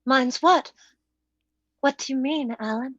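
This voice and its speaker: A woman playing a gentle, sweetly sure young woman, earnest, in an old-time radio drama style. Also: high-pitched, slow pace, no room sound, dull audio, full character voice. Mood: startled, earnest.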